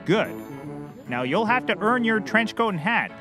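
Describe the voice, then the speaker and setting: An actor playing a hapless, overconfident private detective in a scripted comedy, speaking in an eager, self-important, theatrical way. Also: male voice, high voice, big pitch swings, unhurried, music in the background. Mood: self-important instructing.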